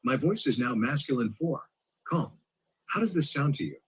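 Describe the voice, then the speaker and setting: Husky male robot assistant voice from a smart speaker, polite, bouncy pitch. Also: moderate pace. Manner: polite, even, synthetic assistant phrasing. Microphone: played from a smart speaker into the room.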